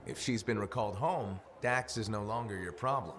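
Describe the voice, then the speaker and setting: A man's voice: lowish voice, normal speed, a little hiss or hum, close to an everyday voice. A man playing a wry, determined mercenary pilot in a video game cutscene, with a dry delivery. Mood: wry, persuasive.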